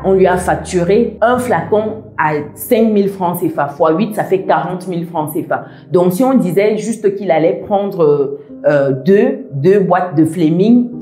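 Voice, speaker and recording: A woman vlogger speaking with a diaspora accent, explaining things in a measured, methodical way, with many hesitant pauses. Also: on the low side, warm tone, echoey room. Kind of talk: explaining to camera.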